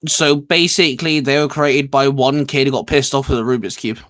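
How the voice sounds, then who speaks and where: A man's voice: mid-range voice, steady tone, brisk, a bit bright. A man hosting a casual geek chat, rambling along enthusiastically. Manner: rambling, enthusiastic, repeats filler phrases. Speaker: show host.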